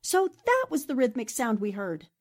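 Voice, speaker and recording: A woman's voice: on the high side, wildly swooping pitch, normal speed. An actress playing a brave, serious American newspaperwoman in a 1940s radio drama, earnest and resolute in manner. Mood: sharp deduction.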